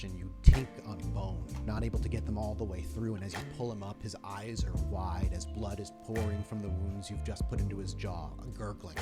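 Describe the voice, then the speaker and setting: A man running a tabletop horror game as storyteller, narrating in a descriptive, cinematic way. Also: lowish voice.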